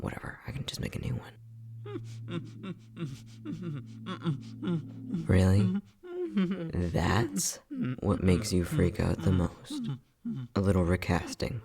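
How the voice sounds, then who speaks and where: A man acting as an unhinged, playful and casually cruel scientist in an ASMR roleplay, flippant and sardonic, with a husky voice that often drops near a whisper. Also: low-pitched, warm tone, full character voice. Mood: distracted, exasperated. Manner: flippant, sardonic, mock-soothing, muttering.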